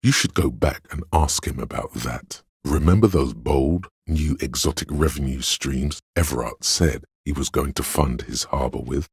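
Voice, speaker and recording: An extremely low, husky voice of pure logic speaks inside the player's head in a video game. It is gravelly and very dryly recorded, with no room around it. The delivery is deliberate, analytical and condescending. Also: male voice, no echo, exaggerated voice. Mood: measured, analytical. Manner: measured, deliberate, dry, superior. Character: inner voice of cold logic, a man's analytical, condescending mind.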